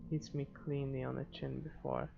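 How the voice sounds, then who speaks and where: A man with a heavily gravelly, creaking voice reads story narration from a visual novel as a gaming host. His reading is halting and stumbles at times, on a dull lo-fi microphone with faint noise. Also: medium pitch, expressive.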